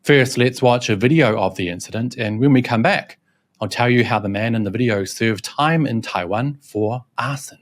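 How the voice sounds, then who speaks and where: A man's voice: mid-range voice, normal speed. A young man hosting a scripted news commentary, mocking and scornful in tone. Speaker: host, reporter. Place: Shanghai.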